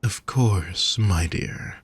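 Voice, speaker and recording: A man playing a gentle, teasing male demon companion in a roleplay audio, speaking courteously with genteel endearments to the listener. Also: deep voice, drawn-out words, slightly rough, no room sound, full character voice. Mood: courteous, inviting.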